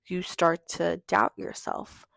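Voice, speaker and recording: A teenage girl hosting her own podcast, chatting in a rambling, confessional way with lots of fillers, her voice hoarse from losing it. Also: lowish voice, monotone, slow, fairly bright tone, dry recording, in a whisper, clean studio sound. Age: teenager in high school. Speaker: podcast host. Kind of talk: casual confessional monologue.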